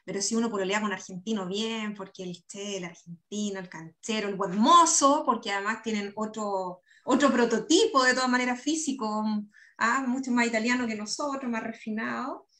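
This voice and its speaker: Interview guest: Chilean woman, fairly high voice, candid conversational delivery, computer mic indoors. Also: normal speed, pushed and bright. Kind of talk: casual conversational interview talk. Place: indoor room on a video call. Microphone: computer video-call microphone.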